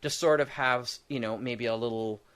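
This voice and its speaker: Male podcast guest, medium-pitched, conversational, halting delivery with thoughts tumbling out. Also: steady tone, a bit bright. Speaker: guest, audio drama creator. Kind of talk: casual podcast discussion.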